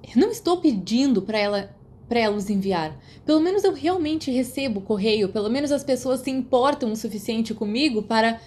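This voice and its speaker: A woman's voice: fairly high pitch, expressive, a little fast, a little mellow, voice pushed up, close to an everyday voice. A woman voicing a hot-tempered, sullen teenage schoolboy with a snappish, defiant manner in an audiobook. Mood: furious. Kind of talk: audiobook character voice.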